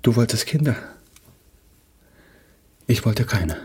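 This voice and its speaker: An actor playing an anxious, wounded young male playwright in a German radio play, halting and stammering as he repeats himself. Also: mid-range voice, animated, a little mellow, slightly rough, heavily acted. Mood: agitated, wounded.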